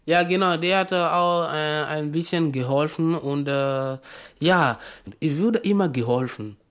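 A school student, not a native speaker, giving a personal account in halting but emphatic phrases. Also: medium pitch. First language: not German.

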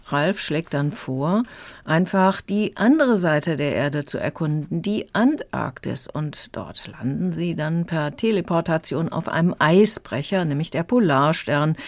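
A woman's voice: low voice, a little mellow, no room sound. A woman giving a radio review of children's audio, retelling and judging it in plain, explanatory words.

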